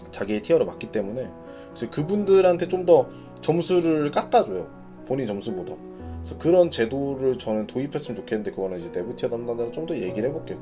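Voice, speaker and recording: A man with a soft, mellow voice and a very lively, swinging intonation leads an informal gaming clan announcement. He talks conversationally, thinking aloud as he explains his notes, with faint music behind him. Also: mid-range voice. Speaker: gaming clan leader hosting announcement broadcast. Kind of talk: informal live announcement, reading notes and commenting.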